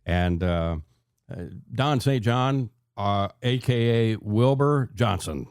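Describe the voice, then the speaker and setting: A man's voice: medium pitch, expressive, a little mellow, smooth, no room sound, clean studio sound. An older man hosts a conversational radio interview, often restarting his phrases as he goes. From American South. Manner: halting, repeats phrase openings. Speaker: radio show host.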